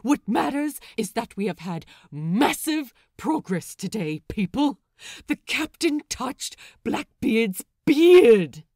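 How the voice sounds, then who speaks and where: A woman voicing an excitable, exasperated young male pirate scribe with dramatic, emphatic delivery in a story reading. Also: on the high side, slow, slightly rough, no room sound, studio quality, pitched above the performer's own voice, heavily acted. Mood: exasperated, excited.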